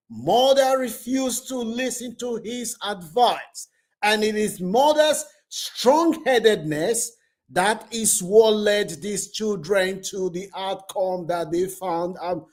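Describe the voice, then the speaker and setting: Guy hosting a youtube channel, high voice, really slow emphatic storytelling. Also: darkish tone. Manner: emphatic, repeats phrases for effect. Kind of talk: storytelling commentary to camera.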